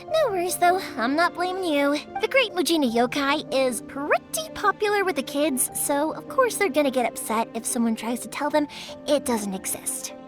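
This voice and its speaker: An actress voicing a cheerful, spirited young woman who makes fireworks, talking in a lively, chatty, big-sisterly way. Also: high voice, moderate pace. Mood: earnest. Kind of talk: game voice acting. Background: game music.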